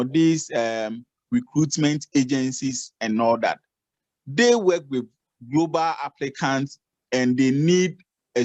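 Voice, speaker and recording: Slow-talking guy on a zoom call, dry sound, keeps restarting sentences. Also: mid-range voice, darkish tone, no room sound, highs cut off. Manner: repetitive, restarts phrases, advisory. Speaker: nursing adviser on the call. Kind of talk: informal video call explanation. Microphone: video call.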